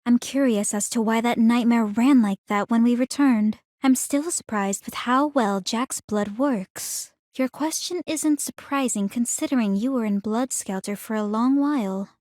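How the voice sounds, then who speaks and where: An actress playing a calm, analytical young woman in a video game, speaking in a measured, formal and articulate way. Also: on the high side, no room sound, clean studio sound, natural voice. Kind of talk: scripted video game character dialogue.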